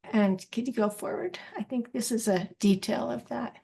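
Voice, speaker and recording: A woman artist presenting a textile piece slide by slide, halting and restarting as she explains. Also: a little high, vocal fry, darkish tone. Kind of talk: slide presentation of her art.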